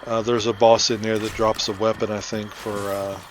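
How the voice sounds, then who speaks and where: A man's voice: fairly low pitch, level tone, normal speed, slight background noise. A man giving casual, rambling gameplay commentary as he hosts a playthrough. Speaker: host of a game playthrough. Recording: music behind the voice. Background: loud game music.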